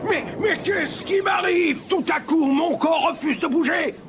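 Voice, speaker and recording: An actor playing a cruel, lovesick, gloating sorcerer in a children's cartoon, theatrically swinging between sugary wooing and menace. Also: male voice, extremely high pitch, measured pace, raised voice, background noise. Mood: panicked. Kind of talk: dubbed children's cartoon acting.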